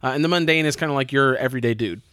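A man's voice: mid-range voice, rapid-fire, a bit bright, clear, resonant tone, no room sound, clean studio sound. A man with an American accent chatting casually as a podcast host and player introducing a tabletop game.

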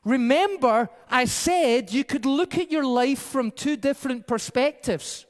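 A man's voice: extremely high pitch, expressive, measured pace. A male pastor preaching a sermon in a conversational, storytelling way with a varied pace. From Peru-born, raised in the UK by Scottish parents. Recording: reverberant hall.

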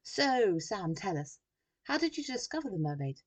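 A woman playing a pushy, forceful female TV reporter. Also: medium pitch, sing-song, normal speed, voice pushed up. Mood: bright, on air. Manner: forceful, over-bright on-air delivery.

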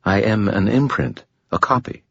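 A man voicing a serene, elegant female demon who speaks formally and with gentle amusement. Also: lowish voice, normal speed, vocal fry, husky, no room sound, lo-fi sound, pitched above the performer's own voice, heavily acted.

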